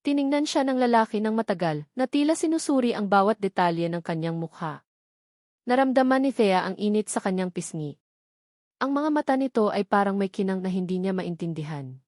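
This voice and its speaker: A woman's voice: mid-range voice, animated, a little fast, darkish tone, dry recording. Female Filipino storyteller for romance narration, mid-range voice, dry intimate recording.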